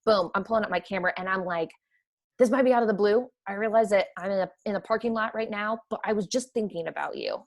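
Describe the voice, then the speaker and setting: A woman with a Midwestern American accent, chatting energetically as a podcast guest. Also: medium pitch. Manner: energetic, chatty, quick to laugh. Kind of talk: casual conversation.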